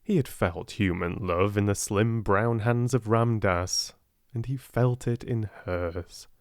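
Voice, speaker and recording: A soft-spoken man with a British accent narrating a storybook in a gentle, expressive way. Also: lowish voice, slow pace, dry recording, studio quality. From Britain. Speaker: audiobook narrator.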